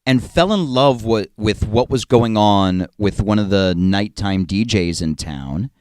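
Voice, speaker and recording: A young adult man co-hosting a casual podcast, animated and argumentative, laughing easily as he talks. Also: fairly low pitch, unhurried. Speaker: podcast co-host.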